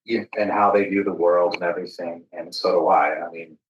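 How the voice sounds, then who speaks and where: Low-voiced man in an echoey room. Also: steady tone, fairly quick, lo-fi sound. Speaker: podcast co-speaker. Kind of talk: casual reflective conversation, storytelling. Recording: roomy, echoey sound.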